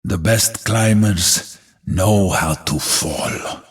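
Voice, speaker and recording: A man voicing a grim, resolute warrior hero in a video game, raspy and gravelly, making weighty, defiant pronouncements. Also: low voice, drawn-out words, full character voice. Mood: solemn, defiant.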